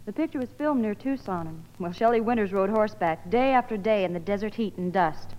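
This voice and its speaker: A woman's voice: medium pitch, expressive, fairly quick, darkish tone. A young American woman, a guest actress reading scripted promotional chat on vintage radio. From Hollywood, California. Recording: muffled old radio broadcast, long hall reverb.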